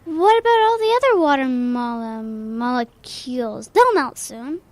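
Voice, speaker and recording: A woman's voice: sits high, animated, unhurried, darkish tone. A female performer playing a helpful, earnest young girl in a children's radio play, hesitant and full of questions. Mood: earnest, unsure.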